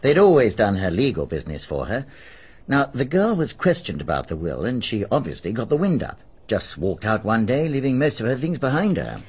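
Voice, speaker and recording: An actor playing a plain-spoken man in a BBC radio drama who reports facts in a measured, matter-of-fact way. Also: medium pitch, very animated, breathy edge. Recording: dull archive radio recording.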